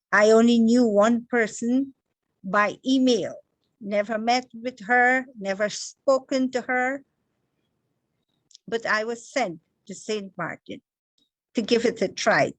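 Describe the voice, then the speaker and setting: A woman's voice: a little high, no echo, rough recording. A woman from Guyana, giving an inspirational spiritual talk as a meditation teacher, stressing her words one at a time in emphatic lists.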